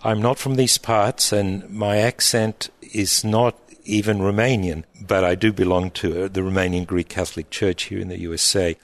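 A middle-aged man, an abbot speaking in an interview in a thoughtful, halting way with frequent restarts. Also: low-pitched, fairly even intonation. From Egypt, raised in Australia. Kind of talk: reflective interview answers.

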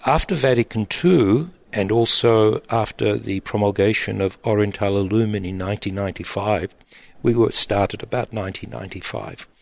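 A middle-aged man, an abbot speaking in an interview in a thoughtful, halting way with frequent restarts. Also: on the low side, gravelly. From Egypt, raised in Australia. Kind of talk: reflective interview answers.

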